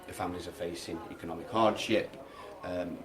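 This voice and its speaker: A man with a fairly low voice speaks earnestly, as a teacher being interviewed. He is halting but emphatic. The room is noticeably echoey, with other people heard behind him. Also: fairly even intonation, crowd noise. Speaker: primary school teacher. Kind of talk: radio interview, conversational explanation. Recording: roomy echo, people chattering behind.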